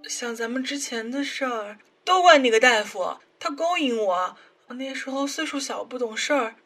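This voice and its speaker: A woman's voice: sits high, animated, normal speed, fairly bright tone. A woman voicing a clingy, scheming young man, a whiny and coaxing ex-boyfriend, in a Chinese audio drama. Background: background music.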